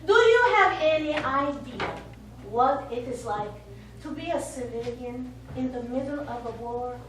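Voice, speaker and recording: An actress on stage playing a bitter, wounded older Italian woman, speaking in a deliberate, accusing manner. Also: sits high, animated. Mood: grave, bitter. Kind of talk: stage play acting. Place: small theatre studio, recorded from afar. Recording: echoey, distant stage recording with hiss.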